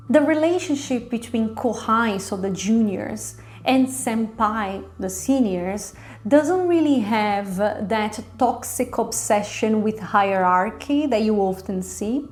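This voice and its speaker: A woman reviewer delivering semi-scripted commentary in an articulate, explanatory way with measured phrasing. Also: fairly high pitch, a little mellow. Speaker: drama reviewer hosting own review show.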